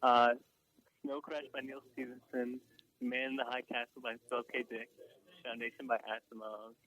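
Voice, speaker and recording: A man's voice: medium pitch, flat delivery, normal speed, a bit bright. A man calling in to a radio show, speaking casually. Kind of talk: casual listing and questioning. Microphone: phone call. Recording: dull lo-fi call-in line.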